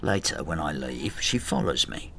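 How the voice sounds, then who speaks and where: A man's voice: extremely low pitch, expressive, moderate pace, gravelly, fairly bright tone, a little hiss or hum, exaggerated voice. An actor playing an embittered, spiteful old husband who narrates his marital war in a brooding, intimate radio drama style. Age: older.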